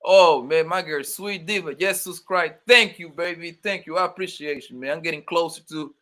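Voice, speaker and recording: A man's voice: fairly high pitch, normal speed, fairly bright tone. A young man with a New York accent hosting a casual livestream, joking loosely and calling out chat viewers by name. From born in Colombia, raised in Brooklyn, New York. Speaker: livestream host.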